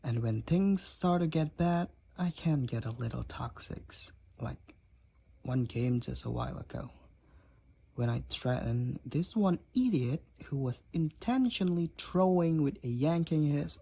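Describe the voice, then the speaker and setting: Male actor as candid demon college roommate for roleplay audio; raspy, slow-paced, teasing and blunt, speaking straight to the listener. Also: a little high, lively intonation, warm tone, full character voice, poor audio. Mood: apologetic, awkward.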